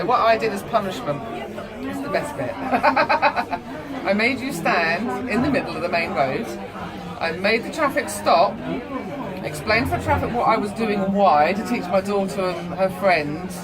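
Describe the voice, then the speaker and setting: Middle-aged woman, mid-pitched, casual sweary storyteller who voices the dialogue, in a very busy, chattering public room. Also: moderate pace, over a noisy crowd. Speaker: mother telling family stories.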